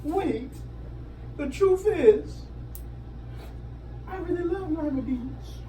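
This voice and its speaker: A woman's voice: extremely high pitch, animated, takes it slowly, warm tone, pitched above the performer's own voice, full character voice. A woman voicing a dramatic, reluctant young schoolgirl in a storybook, with an emotional delivery. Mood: pleading. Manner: exclamatory, emotional. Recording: echoey room, distant microphone, noise.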